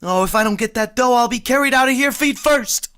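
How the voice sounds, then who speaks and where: A man's voice: very high-pitched, brisk, a bit bright, slight background noise. An actor playing a boastful, easily flustered working-class man who blusters and stammers when he gets nervous, in vintage radio comedy style.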